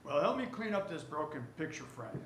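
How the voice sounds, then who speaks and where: A man's voice: fairly high pitch, big pitch swings, a bit bright. Man playing a self-assured boss in an echoey room. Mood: guarded. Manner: brisk, commanding, polished. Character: smooth, self-assured male department store tycoon. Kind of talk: radio drama acting. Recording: roomy stage recording, faint ambient noise.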